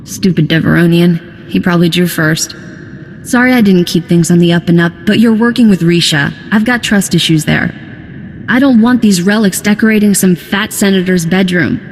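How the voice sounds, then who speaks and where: A woman's voice: lowish voice, normal speed, slight background noise, close to an everyday voice. A woman playing a wry, jaded smuggling contact in a video game, speaking with dry humor. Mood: wry, frank. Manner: wry, sardonic. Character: dry, jaded but soft-hearted female smuggling contact. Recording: long reverb with music behind.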